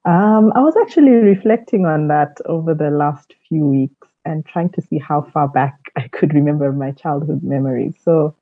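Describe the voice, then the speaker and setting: A woman's voice: sits low, moderate pace, hardly any pauses, dry recording, phone-quality sound. A woman from South Africa answering conversationally as an interview guest on a podcast. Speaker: podcast guest, leadership mentor.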